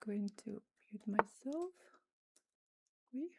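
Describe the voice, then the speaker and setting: A woman's voice: mid-range voice, animated, vocal fry, breathy edge. A woman with a Russian accent, a native Russian speaker, streaming chess and thinking aloud over her moves in casual commentary. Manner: thinks aloud while playing fast games.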